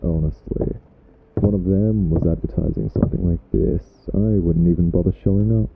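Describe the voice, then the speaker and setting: A man's voice: deep voice, fairly even intonation, moderate pace, vocal fry, phone-quality sound, background noise. A man playing a smug, teasing vampire who drawls taunts at a captive nemesis in an ASMR roleplay monologue. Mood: smooth flattery.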